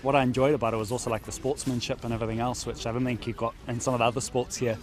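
A man's voice: medium pitch, flat delivery, racing. A middle-aged man, giving a casual interview as a team coach. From Zimbabwe. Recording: crowd behind outdoor interview.

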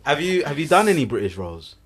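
A man's voice: a little high, very animated, fast talker. A man in his thirties hosting a podcast in a studio, asking friendly, teasing questions with energy. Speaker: podcast host. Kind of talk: casual podcast interview. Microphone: studio mic on boom arm.

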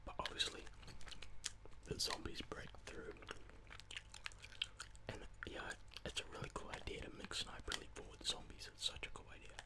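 A young man giving rambling, casual, self-deprecating ASMR gameplay commentary in a breathy whisper, close to the microphone. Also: normal speed, pauses often. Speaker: gaming video host. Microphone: close microphone, voice-over on gameplay.

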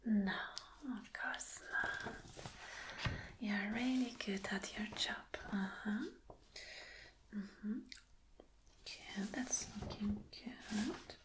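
A woman whispering softly in an ASMR roleplay as a flirty, attentive boss, gentle and reassuring. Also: mid-range voice, steady tone, very slow, long pauses. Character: flirty, attentive female boss soothing an employee. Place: small dim room indoors.

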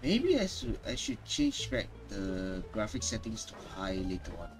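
A man's voice: on the low side, huge pitch swings, unhurried, over music. A man giving casual game reaction commentary as a streamer, with wry, mocking asides.

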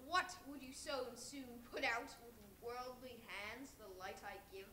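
A woman's voice: fairly high pitch, unhurried, sharp tone. A woman on stage playing a solemn, commanding Christmas spirit in a live play. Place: stage in a dim auditorium. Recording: echoey live stage, faint room noise.

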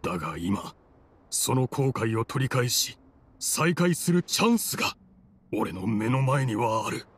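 Slow-talking, weary old man. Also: mid-range voice, big pitch swings, slightly rough. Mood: remorseful, weary. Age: older. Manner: slow, reflective, resigned. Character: weary, remorseful old man, fallen former official. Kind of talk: Japanese game voice acting.

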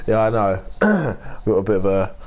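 A young man with a croaky voice, chatting casually and swearing as he livestreams a game and greets viewers by name. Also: lowish voice, big pitch swings, moderate pace, a little mellow. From United Kingdom. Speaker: streamer. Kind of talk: casual gaming livestream chat.

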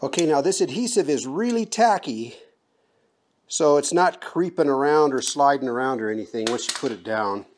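A man's voice: mid-range voice, lively intonation, normal speed, slight background noise. A middle-aged man giving casual, folksy how-to narration while doing a DIY job, recorded on a camera mic in an open garage and outdoors. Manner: folksy, plain-spoken instructions. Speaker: DIY vlogger.

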